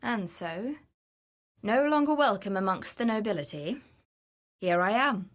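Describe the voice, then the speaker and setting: A woman's voice: mid-range voice, animated, measured pace, close to an everyday voice. An actress playing an adventurous, greedy woman treasure hunter in a video game, confident, wry and theatrical. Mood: candid, wry. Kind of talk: video game character voice lines.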